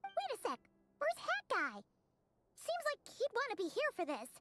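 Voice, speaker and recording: A woman voicing a small, excitable, anxious childlike fairy companion in a video game, exclaiming and questioning. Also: very high voice, moderate pace, exaggerated voice. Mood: alarmed, puzzled. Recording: loud music behind the voice.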